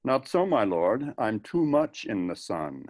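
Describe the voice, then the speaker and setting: A man playing a bitter, grieving young prince, reading Shakespearean verse in a somber, deliberate manner. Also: fairly low pitch, very animated, a little mellow. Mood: wry, bitter. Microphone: video call.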